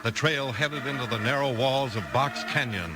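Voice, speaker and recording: A man's voice: mid-range voice, normal speed, noisy background. A man with an American accent, announcing and narrating in 1950s radio advertising style.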